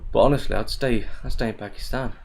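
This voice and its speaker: Gravelly young man on livestream. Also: mid-range voice, steady tone, fast talker, darkish tone. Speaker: live streamer. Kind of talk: casual livestream chat with repetitive chanting.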